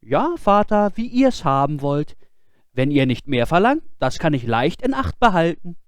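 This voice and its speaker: A high-pitched, simple-minded boy from a storybook, played by a man reading aloud. He speaks with earnest, guileless emphasis and sounds fearless and innocent. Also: sing-song, normal speed, clean tone, no echo, clean studio sound. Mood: eager, compliant. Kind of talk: fairy-tale storybook character voice.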